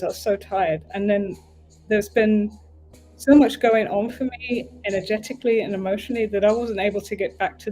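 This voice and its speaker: A woman's voice: medium pitch, a little mellow, rough recording. Chatty English woman, livestream host. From United Kingdom. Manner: chatty, meandering, often breaks off to fix the stream. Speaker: tarot reader and livestream host. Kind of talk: casual livestream chat.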